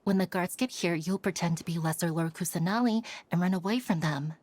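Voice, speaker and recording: An actress playing a calm, reassuring female companion in a video game, encouraging and steady. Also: lowish voice, a little fast, natural voice. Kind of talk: scripted game dialogue.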